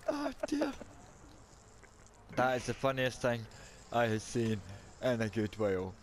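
Male gamer, very slow-paced yet lively in pitch, giggly and sweary, casual banter over noisy game chat. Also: vocal fry. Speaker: video game player. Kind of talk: casual banter during online gaming.

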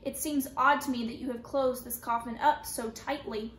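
A cold, condescending officer with a foreign accent, put on by a woman reading aloud, his words slow, heavy and harsh. The voice rings in a roomy space with a faint hiss of noise behind it. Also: sits high, steady tone, a bit bright.

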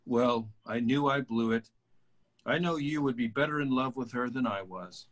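A man reading the part of a sympathetic, slightly pushy therapist in a sitcom table read, with a husky voice. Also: mid-range voice, moderate pace, fairly bright tone, close to an everyday voice. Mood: rueful. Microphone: video call.

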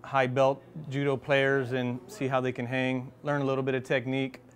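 A man's voice: mid-range voice, monotone, moderate pace. A young man chatting casually as he presents a visit for a video blog. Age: young adult. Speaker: dance crew member presenting a gym visit. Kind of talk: casual vlog chat. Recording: loud music over the voice.

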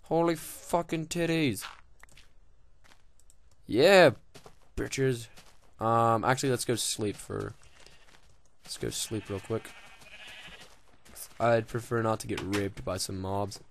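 A man's voice: medium pitch, slow pace, gravelly, long pauses, a little hiss or hum. Rambling, profane young man gaming. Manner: rambling, profane, joking, many fillers. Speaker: gaming video host. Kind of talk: casual game commentary.

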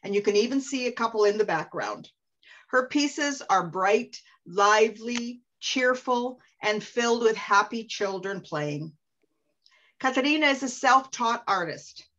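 Female moderator, gracious and measured, muffled video call audio. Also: on the high side, animated, fairly bright tone. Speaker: webinar moderator. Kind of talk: moderating and introducing guests.

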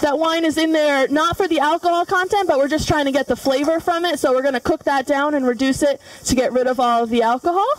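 A woman's voice: high-pitched, fairly even intonation, quick pace, few pauses, a little hiss or hum. A young woman giving a cooking demonstration in a large studio kitchen, explaining each step with frequent fillers. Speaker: culinary student demonstrator. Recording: voice from far off the mic.

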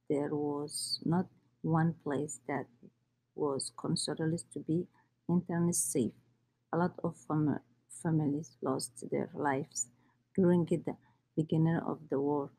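A woman, reading her own life story in halting English. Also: low-pitched, dark tone, poor audio. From Somalia. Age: 30s. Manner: halting, with restarts and non-native grammar. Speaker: immigrant storyteller.

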